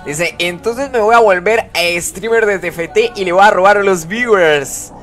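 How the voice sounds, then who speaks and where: A man's voice: high voice, moderate pace, a bit bright. A man hosting a casual live game stream, chatting with followers, greeting them by name and reading their comments aloud. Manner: chatty, greets viewers and reads chat aloud.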